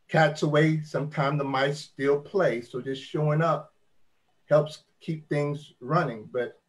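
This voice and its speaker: A man in his sixties, still working, speaking as a panelist on a video call from a home study in a thoughtful, folksy way. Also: mid-range voice. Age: 60s.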